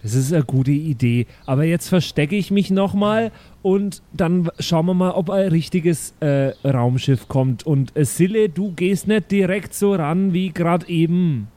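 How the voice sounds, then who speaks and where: A man playing a cheeky, naive and excitable young schemer who talks in slang in an improvised audio drama. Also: on the high side, a little slow, natural voice. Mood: scheming.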